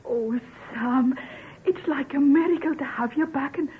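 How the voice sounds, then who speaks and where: An actress with a northern English accent playing a timid, devoted young country lass, emotional and hesitant, in radio drama. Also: female voice, sits high, unhurried. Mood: overjoyed, amazed. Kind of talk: old-time radio acting. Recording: noisy, narrow-band old radio recording.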